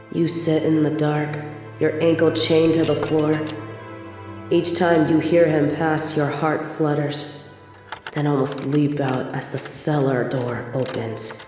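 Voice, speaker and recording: A woman narrating a scripted second-person story in a deliberate storytelling manner. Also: low voice, warm tone. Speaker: narrator and voice actor. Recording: very echoey, long reverb, music behind. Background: background music.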